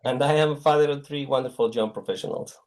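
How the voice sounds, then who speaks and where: A middle-aged man from Mexico with a Mexican Spanish accent, answering podcast interview questions as a guest, halting and searching for words with many pauses and restarts. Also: mid-range voice, darkish tone. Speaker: guest, technology executive.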